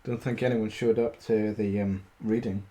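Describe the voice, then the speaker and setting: A man streaming amateur storytelling, halting as he reads, with casual, self-deprecating asides. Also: fairly low pitch, level tone, warm tone. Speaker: streamer reading stories aloud.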